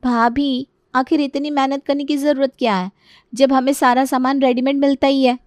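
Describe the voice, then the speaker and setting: A high, dry-recorded young daughter-in-law, played by a woman in a family audio story. She is whiny and exasperated, complaining with dramatic exclamations about household life. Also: fairly even intonation, normal speed, a little mellow, no room sound, natural voice. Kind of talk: acted Hindi family story dialogue.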